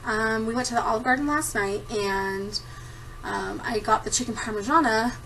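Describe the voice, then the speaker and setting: A woman's voice: a little high, moderate pace, a bit bright, recorded from a distance, slight background noise, rough recording. A woman in her thirties chatting casually to camera, giggling often and rambling with lots of ums. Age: 30s. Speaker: vlogger answering a tag. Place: home room at a desktop computer. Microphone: computer camera mic.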